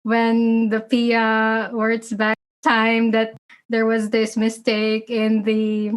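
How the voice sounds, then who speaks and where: A woman's voice: on the high side, completely flat delivery, drawn-out words, clean tone. A young woman from the Philippines with a Filipino accent, hosting a casual podcast interview and laughing often between filler words. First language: Filipino language. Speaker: podcast host.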